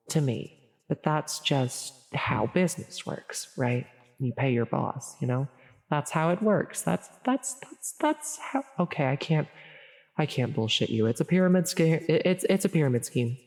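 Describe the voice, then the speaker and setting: A woman voicing a caring, flustered demon who insists she is evil, reassuring and chatty with stammers and self-interruptions, in a soft comfort audio roleplay. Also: extremely low pitch, heavily acted. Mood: coaxing, salesy, then sheepish, confessing. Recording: long reverberant echo on voice.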